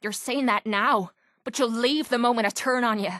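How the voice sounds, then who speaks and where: A woman's voice: on the high side, normal speed, a bit bright, no room sound, clean studio sound, close to an everyday voice. An actress playing a tough, cynical, streetwise young woman with an Irish accent, as a companion in a video game. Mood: troubled, vulnerable. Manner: blunt, sardonic, streetwise. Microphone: close, dry studio microphone.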